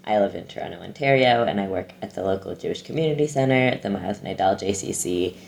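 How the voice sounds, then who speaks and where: Twenties speaker on a video call, deep and gravelly, kinda hesitant with lots of ums. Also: quick pace, a little mellow. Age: 20s. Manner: thoughtful, hesitant, many fillers. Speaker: guest. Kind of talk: casual interview answers.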